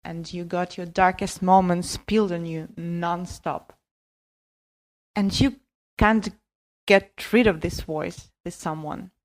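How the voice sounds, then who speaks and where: A woman's voice: fairly low pitch, fairly even intonation, takes it slowly. A woman recording a listener voice message with reflective commentary for a podcast. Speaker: listener sending in a voice submission.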